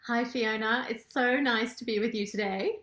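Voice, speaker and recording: A middle-aged woman from Zimbabwe, a transformation coach, telling her personal story in an interview with lively storytelling. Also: on the high side, moderate pace. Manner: animated storytelling, acts out quoted thoughts. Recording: roomy echo.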